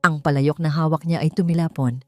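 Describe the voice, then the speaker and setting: A woman's voice: deep voice, steady tone, fairly quick, dry recording, clean studio sound, soft background music. A woman reading a scripted emotional story as a dramatic narrator.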